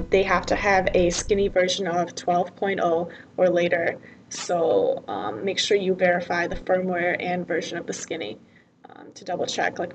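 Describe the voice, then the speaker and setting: A woman's voice: on the low side, low-quality recording. Woman presenting a tutorial, some background noise. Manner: informal, explanatory, step-by-step. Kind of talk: software tutorial narration, semi-scripted.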